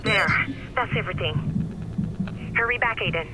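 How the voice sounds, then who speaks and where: A woman's voice: medium pitch, moderate pace, loud music behind the voice, close to an everyday voice, low-quality recording. Woman playing an earnest young hacker. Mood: focused. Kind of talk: video game cutscene acting.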